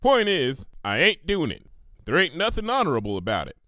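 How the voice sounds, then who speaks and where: A man's voice: fairly high pitch, huge pitch swings, brisk, pushed and bright, no echo, clean studio sound, pitched above the performer's own voice, exaggerated voice. A man voicing a proud, stubborn female country pony in a cartoon story, with a folksy Southern US drawl. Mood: defiant.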